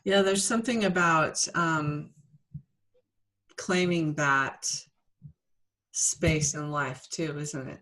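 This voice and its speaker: A woman's voice: sits low, slow, long pauses, a bit bright, poor audio. A middle-aged woman co-hosting a podcast, telling a personal story in an earnest, playful way. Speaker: podcast co-host. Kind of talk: casual reflective chat.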